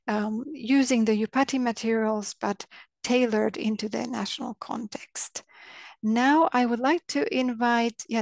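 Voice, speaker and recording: A woman with a fairly high voice presents an organisation's work over slides. Her speech is measured and full of fillers and restarts, and the recording is dry and close. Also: dry recording. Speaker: organisation representative.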